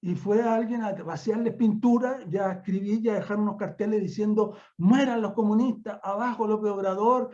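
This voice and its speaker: High-pitched older Chilean man, dull audio. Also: moderate pace, hardly any pauses, a little mellow. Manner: emphatic, repeats words and phrases for emphasis. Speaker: university professor, guest speaker. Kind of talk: extemporaneous online academic lecture. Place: remote online conference call. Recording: dull lo-fi online call audio.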